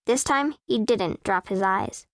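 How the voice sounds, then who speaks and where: A woman's voice: mid-range voice, a little slow, dry recording, studio quality, close to an everyday voice. A woman voicing a proud, self-possessed eleven-year-old girl who narrates a children's audiobook in a precise, composed, matter-of-fact way. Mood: composed, wry. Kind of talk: first-person audiobook narration of children's fiction.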